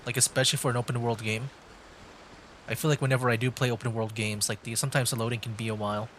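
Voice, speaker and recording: Man casually thinking aloud, medium pitch. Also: flat delivery, fast, a bit bright, slight background noise. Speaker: game streamer. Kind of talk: casual livestream commentary. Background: game music.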